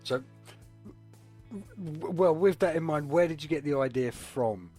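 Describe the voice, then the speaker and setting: A man's voice: on the high side, animated, unhurried, darkish tone. A man hosting a casual radio interview, effusive and often stumbling over the starts of his words. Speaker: radio host. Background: music playing underneath.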